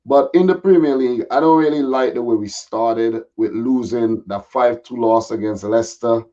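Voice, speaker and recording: A man with a slightly raspy voice chatting casually and in a laid-back way as a guest football pundit. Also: mid-range voice, normal speed, mellow. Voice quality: a little raspy. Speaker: guest football pundit, Manchester City fan. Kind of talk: casual chat. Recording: dull, lo-fi audio.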